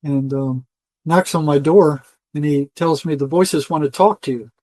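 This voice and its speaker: An older man speaks in a somewhat high-pitched voice. He tells stories in a loose, rambling, colloquial way, as a guest in conversation. The sound is dry, with very little room echo. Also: moderate pace, darkish tone. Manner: rambling, colloquial, acts out quoted dialogue. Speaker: interview guest, retired psychotherapist. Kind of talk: conversational storytelling, casual interview.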